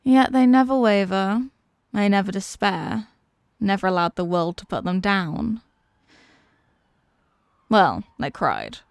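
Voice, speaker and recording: A woman playing a menacing, mocking demon villainess in a fantasy audio roleplay, theatrical and taunting, with deliberate dramatic pauses. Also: medium pitch, animated, vocal fry, smooth, no room sound, clean studio sound. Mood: taunting storytelling. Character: possessing demon villainess, menacing, mocking, tormented by borrowed love.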